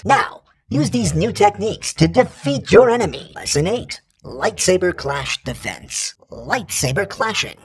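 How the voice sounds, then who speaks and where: An actor voicing an exacting combat training droid in a video game, formal and impassive, with a gravelly, husky robotic voice. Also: male voice, high-pitched, heavily acted. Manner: formal, impassive, precise, instructional. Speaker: combat instructor. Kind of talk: scripted video game tutorial lines.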